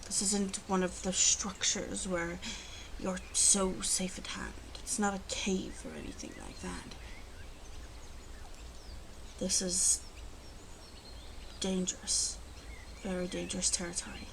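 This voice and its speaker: Noticeable ambient noise sits behind a female werewolf, acted by a woman in an ASMR roleplay. She speaks slowly and softly with a gravelly creak, often dipping into whispers. Her manner is protective and gruffly bossy. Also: medium pitch, level tone. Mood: stern, protective. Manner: slow, murmuring, often drops into whispers. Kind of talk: ASMR roleplay, close and soft-spoken. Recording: clearly audible ambient background noise.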